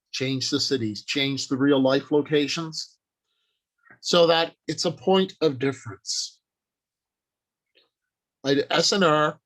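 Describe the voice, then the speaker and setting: An older man presenting an informal instructional talk in a halting, deliberate, explanatory way. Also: mid-range voice, fairly bright tone. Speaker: workshop presenter.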